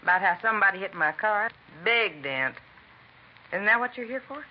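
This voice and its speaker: Chatty, folksy American housewife played by an actress. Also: female voice, mid-range voice, lively intonation, moderate pace, natural voice. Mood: aggrieved, chatty. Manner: talkative, folksy, blunt. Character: chatty, nosy, working-class housewife, television fan. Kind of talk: 1950s radio drama acting. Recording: muffled vintage radio recording, faint noise.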